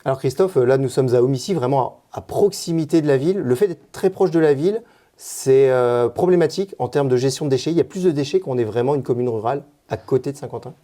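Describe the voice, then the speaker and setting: Mellow-voiced male field interviewer. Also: mid-range voice, quick pace, no echo.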